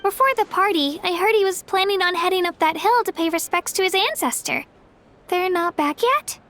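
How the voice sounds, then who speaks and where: A woman's voice: extremely high pitch, moderate pace, fairly bright tone, a little hiss or hum. A woman playing an earnest, hopeful young girl in a video game, with a sweet childlike voice. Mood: mildly concerned. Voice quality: childlike, girlish. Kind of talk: video game character acting. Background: faint music.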